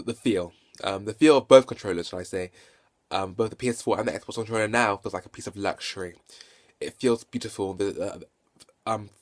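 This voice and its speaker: A man with a stutter giving an unscripted, enthusiastic game review, halting and often correcting himself, recorded on a handheld phone. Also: on the low side. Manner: halting, self-correcting and repetitive. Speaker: video game reviewer and vlogger. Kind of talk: unscripted casual hands-on review.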